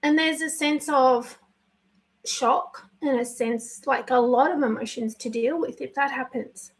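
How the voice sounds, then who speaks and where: A woman life coach speaking live and unscripted, her voice husky today, talking slowly and choosing her words with care. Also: sits high. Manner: slow, pausing, careful with words, apologetic. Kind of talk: spontaneous live video talk.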